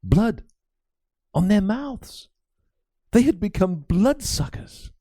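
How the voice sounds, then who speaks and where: A man's voice: sits high, sing-song, slow, dark tone, no echo, studio quality, voice pushed up, full character voice. Man acting a frightened, swooping medieval priest. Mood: horrified. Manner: stammering, dramatic and urgent. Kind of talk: dramatic audiobook character acting.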